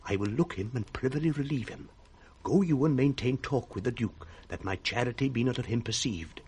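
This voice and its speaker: A man acting an old British nobleman in a radio tragedy, loyal and worried. He speaks earnestly at a middling pitch, like someone sharing dangerous confidences. A faint hiss sits under the vintage recording. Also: a little fast, a little mellow, natural voice. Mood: secretive, resolute.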